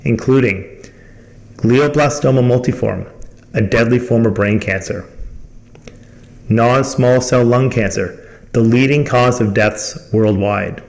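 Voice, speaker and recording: Formal man reading a corporate presentation. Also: medium pitch. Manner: formal, reading from prepared text. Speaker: company presenter and call host.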